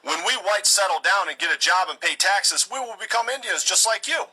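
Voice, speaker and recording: A man's voice: high voice, fairly quick, pushed and bright, breathy edge, full character voice, rough recording. A man voicing a smug, preachy settler leader in a satirical cartoon, condescending and full of self-righteous pleading.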